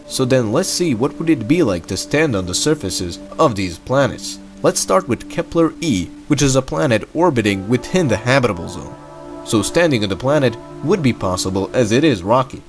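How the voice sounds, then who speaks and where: A man giving scripted educational narration in a plain-spoken, explanatory way. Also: mid-range voice. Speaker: narrator of an astronomy explainer video. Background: background music.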